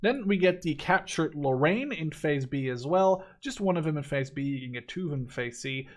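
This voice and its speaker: Man in his twenties, slightly high voice, gaming YouTuber, casual commentary, blunt opinions, informal wording. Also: expressive, moderate pace. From Netherlands. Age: 20s. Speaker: gaming YouTuber reviewing a game.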